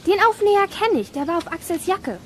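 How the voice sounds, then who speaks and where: A woman's voice: very high-pitched, big pitch swings, a little fast, a bit bright, full character voice. A woman playing an eager, worried young girl detective in a children's audio drama. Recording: outdoor ambience noise behind.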